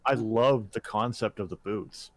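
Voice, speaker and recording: A man's voice: lowish voice, measured pace, no echo. A man co-hosting a podcast, chatting casually and reacting in short, informal phrases. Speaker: podcast co-host.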